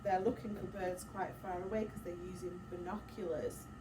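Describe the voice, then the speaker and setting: A woman's voice: on the low side, steady tone, fairly quick, over music. A woman primary school teacher leading an interactive story-time lesson, asking questions and explaining things simply to young children. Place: echoey classroom with children. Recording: noisy echoey room, distant mic.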